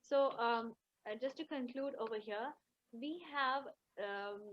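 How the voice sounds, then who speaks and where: A woman teaching an online class over a video call, speaking haltingly with many fillers and restarts. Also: a little high, dull audio.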